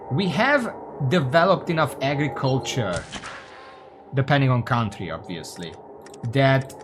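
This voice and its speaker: A man's voice: mid-range voice, noisy background. A man from Hungary, giving casual, rambling, sweary live commentary as he streams a game and chats with viewers.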